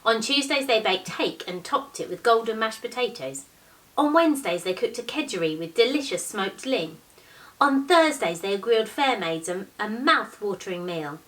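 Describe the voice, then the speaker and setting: A woman in her thirties, a teacher, reading a picture book aloud expressively with measured, deliberate storytelling phrasing. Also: on the high side, expressive, fairly bright tone. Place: small living room, indoors, on a sofa. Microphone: camera a few metres away. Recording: distant microphone in a living room.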